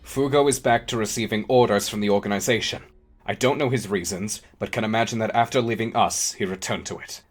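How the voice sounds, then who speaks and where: A composed gang leader, acted by a man, with a lowish voice. He speaks seriously and deliberately, in the manner of a crime audio drama. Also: soft background music, lightly acted. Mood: grave, composed.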